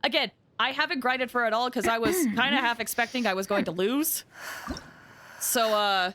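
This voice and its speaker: A woman game streamer chatting casually and excitably, thinking aloud with frequent exclamations as she plays. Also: fairly high pitch, moderate pace, background noise. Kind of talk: casual livestream gaming chat.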